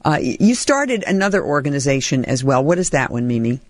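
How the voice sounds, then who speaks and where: Radio host: animated woman, brisk delivery, dry close studio mic. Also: no room sound. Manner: brisk, animated, with sudden pitch jumps. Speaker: radio talk show host. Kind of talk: talk radio hosting. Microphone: studio microphone.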